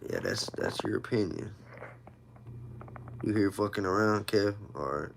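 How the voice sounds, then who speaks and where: A man's voice: fairly low pitch, big pitch swings, a little slow, vocal fry, slight background noise, poor audio. A man in his thirties hosting a casual livestream, telling personal stories in informal street slang and repeating himself for emphasis. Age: 30s. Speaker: livestream host.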